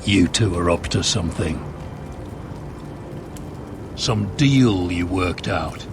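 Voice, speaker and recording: An actor playing a stern, accusing, scornful officer of a sworn brotherhood in a game drama, with a gravelly voice. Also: male voice, low-pitched, takes it slowly, background noise, full character voice. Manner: menacing, scornful.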